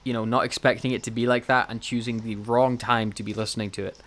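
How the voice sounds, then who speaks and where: A man co-hosting a podcast in casual fan chat, excitable and self-deprecating, with some swearing. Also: mid-range voice, fast talker. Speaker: podcast co-host.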